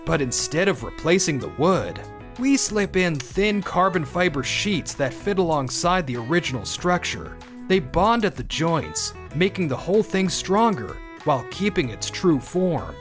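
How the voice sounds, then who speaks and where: Man playing businessman, manga dub, expressive swings, slightly high, earnest, light background music. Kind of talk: anime-style manga dub acting and narration.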